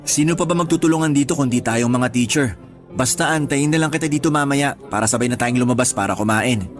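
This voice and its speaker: A man's voice: medium pitch, quick pace, faint music behind, close to an everyday voice. A man acting as a friendly young schoolteacher in a radio drama, speaking in an easygoing, reassuring, lightly teasing way.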